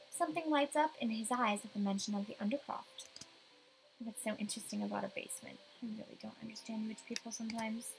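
An adult woman reading expressive first-person narration from a visual novel script. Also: on the high side, creaky, poor audio. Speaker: player voicing a visual novel.

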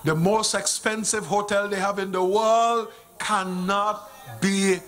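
A middle-aged man with a Vincentian accent preaching a sermon in a church, speaking into a handheld microphone, swinging from hushed appeals to loud exclamations. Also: high voice, fairly even intonation, slow pace. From Saint Vincent and the Grenadines. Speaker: pastor. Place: church sanctuary stage.

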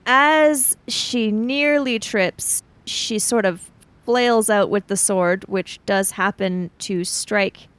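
A woman playing a tabletop role-playing game, narrating her character's actions in a hesitant, halting way. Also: mid-range voice, a bit bright, clean tone, dry recording.

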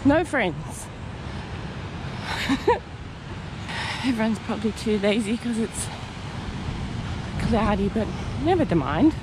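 A woman's voice: fairly high pitch, big pitch swings, slow, long pauses. A woman in her forties with an Australian accent, chatting casually to a handheld camera outdoors and gushing over the scenery. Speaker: travel vlogger. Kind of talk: casual vlog narration. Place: outdoors on a beach and in a car. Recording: outdoor noise behind the voice.

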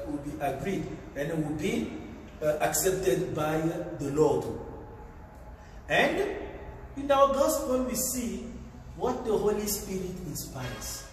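Man, priest, homily, high voice, unhurried, echoing hall, distant mic. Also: a little mellow, a little hiss or hum. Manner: slow, deliberate preaching with frequent pauses and fillers. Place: large tiled chapel, indoors. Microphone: far camera. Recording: echoey chapel from far off.

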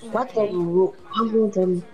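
A woman's voice: mid-range voice, fairly even intonation, measured pace, warm tone, natural voice. A woman playing a commanding, theatrical mad scientist who creates monsters, in an amateur game roleplay. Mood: grand, commanding. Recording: noisy amateur game recording.

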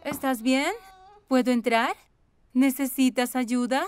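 A woman playing a caring young mother, tender and concerned yet firm, in an animated children's show. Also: high voice, slow, clean tone, no room sound, clean studio sound. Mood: worried.

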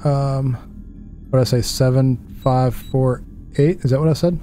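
A man's voice: mid-range voice, fairly even intonation, unhurried, dark tone, soft background music. A man in his thirties on a headset, casually narrating a puzzle game while muttering to himself, puzzled and self-deprecating. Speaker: gaming streamer. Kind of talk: casual let's-play commentary.

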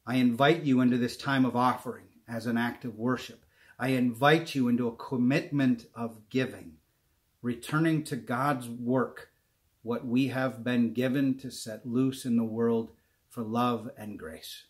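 A man in his forties, a pastor, calmly and earnestly inviting the congregation to give, recorded at home on a webcam. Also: medium pitch. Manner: calm, earnest, slowing at the close. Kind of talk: inviting the offering. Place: home room with brick wall.

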